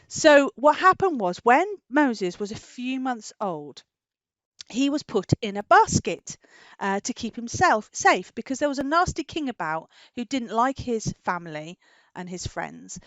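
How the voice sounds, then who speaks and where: A British woman hosting story time for young children, asking animated questions, stretching key words and adding tag questions. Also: a little high, measured pace, no room sound. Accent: British English.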